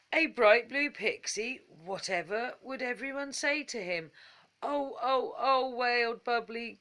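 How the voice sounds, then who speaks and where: A woman reading a children's story aloud as a calm, gentle bedtime storyteller. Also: medium pitch. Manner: gentle, unhurried.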